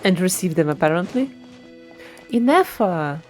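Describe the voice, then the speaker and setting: A woman's voice: on the low side, lively intonation, normal speed. A woman game streamer giving casual live commentary in a dry, teasing way. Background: game music.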